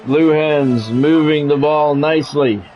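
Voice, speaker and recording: Male game streamer, lightly higher voice, polished clipped play-by-play with casual asides, music in the background. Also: measured pace, highs cut off, a little hiss or hum. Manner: practised radio-style play-by-play, clipped phrases. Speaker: streamer doing play-by-play of a football video game. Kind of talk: sports commentary mixed with casual chat.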